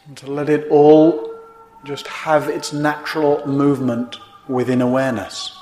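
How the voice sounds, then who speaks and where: A man teaching a guided meditation in gently instructive, unhurried phrases with pauses between them. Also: mid-range voice, little pitch movement, faint music behind. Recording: roomy, echoing indoor sound.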